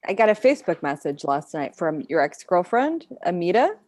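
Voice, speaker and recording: A woman's voice: lowish voice, very animated, normal speed, a little mellow. A woman playing a frank, skeptical friend who reproaches someone, in a table read of a screenplay. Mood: reproachful.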